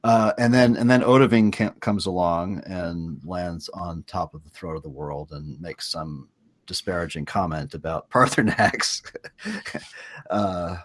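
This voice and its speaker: A man with a slightly husky voice on a podcast panel, casually recounting video game events in a halting way. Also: sits low, no echo. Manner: halting, piecing events together.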